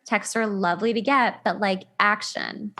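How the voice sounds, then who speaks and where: A woman's voice: mid-range voice, steady tone, moderate pace, sharp tone. A woman hosting a casual relationship advice talk, emphatic and repetitive, acting out imagined reactions as she tells anecdotes. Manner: emphatic, repeats words, acts out imagined reactions. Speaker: podcast host.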